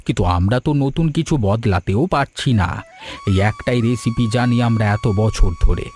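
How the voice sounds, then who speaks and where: A man voicing a kindly, patient old grandfather who runs a food shop, gentle and earnest, in a cartoon story. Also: mid-range voice, huge pitch swings, moderate pace. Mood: worried. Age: older.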